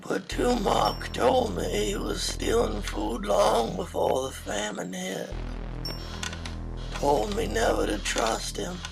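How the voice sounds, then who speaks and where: Man doing mountain storyteller, very high voice, unhurried, wistful, rambling, comedic radio drama. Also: creaky, heavily acted.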